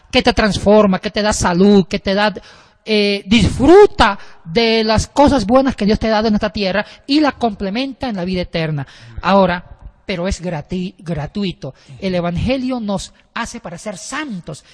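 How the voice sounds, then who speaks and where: A man explaining church history in a casual discussion, often restarting his sentences. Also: sits high. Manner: explanatory, with frequent restarts and hesitations. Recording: long reverb.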